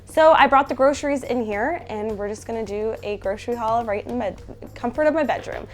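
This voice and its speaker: A woman's voice: fairly high pitch, fairly quick, faint music behind. A young Canadian woman with a stuffy, nasal voice from a head cold, chatting casually in her bedroom about groceries. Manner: chatty, upbeat, many fillers. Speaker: food vlogger. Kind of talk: casual haul video chat. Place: bedroom at home.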